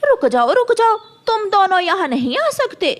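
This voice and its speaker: Woman voicing a haughty, high-pitched scolding lady crow. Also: sing-song, moderate pace, a little hiss or hum. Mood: scornful, scolding. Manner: scolding, imperious. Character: haughty, bossy, hot-tempered rich mother crow.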